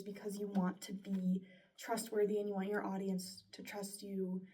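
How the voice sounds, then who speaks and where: A woman's voice: mid-range voice, warm tone, recorded from a distance. A young woman, a broadcasting student, reflecting aloud on her own work in an earnest, chatty way with plenty of likes and sos. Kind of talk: casual spoken self-reflection.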